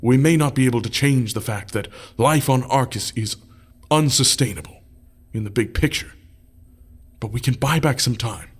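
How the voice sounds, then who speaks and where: A man's voice: mid-range voice, normal speed, slightly rough, close to an everyday voice. An actor playing a fleet designer who explains his plans in a plain, unrehearsed way. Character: male fleet designer presenting ship plans, measured. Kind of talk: audio drama acting.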